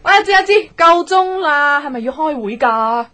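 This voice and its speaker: A fairly high female voice as a gossipy office colleague. She is blunt and bossy, swooping up and down with chatty exasperation in radio drama style. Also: animated, moderate pace, pushed and bright, soft background music. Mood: hurried, bossy. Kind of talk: Cantonese radio drama acting.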